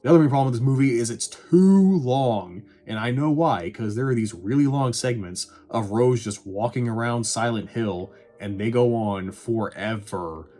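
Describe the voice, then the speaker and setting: A man with a husky voice giving casual, scripted movie review commentary in a sarcastic, irreverent, sweary manner. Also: medium pitch, normal speed, hardly any pauses, dark tone. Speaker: video reviewer.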